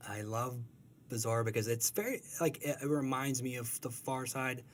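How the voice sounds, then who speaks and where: A man's voice: mid-range voice, steady tone, moderate pace, a little hiss or hum. A man chatting casually as an interview guest, informal and enthusiastic, feeling his way through explanations. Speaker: cartoonist guest. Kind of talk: casual chat.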